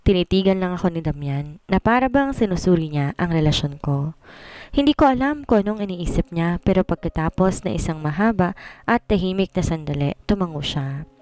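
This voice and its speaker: A woman's voice: lowish voice, expressive, a little fast, a little mellow, no room sound. A woman with a Filipino accent reading a dramatic first-person romance story as scripted narration.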